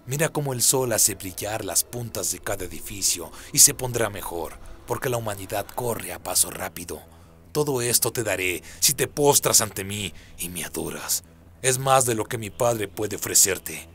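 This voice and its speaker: A man's voice: lowish voice, animated, normal speed, a bit bright. A man voicing a proud, sly fallen angel in a radio play, taunting and persuasive. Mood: tempting.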